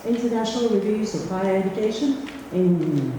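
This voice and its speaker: A woman's voice: medium pitch, lively intonation, a little fast, mellow, slight background noise. A middle-aged woman from Finland introducing herself on a conference panel, chatty and self-deprecating with many fillers. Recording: echoey hall, distant mic.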